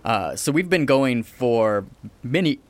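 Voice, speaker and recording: Energetic American man in his forties. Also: mid-range voice. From United States. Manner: quick, energetic storytelling with repeats. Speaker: indie film producer guest. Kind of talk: casual interview chat.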